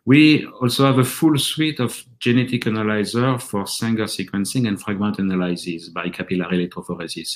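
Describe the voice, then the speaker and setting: A man with a French accent giving a measured, earnest conference presentation for his company. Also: fairly low pitch, steady tone. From France. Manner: earnest, measured delivery with occasional restarts.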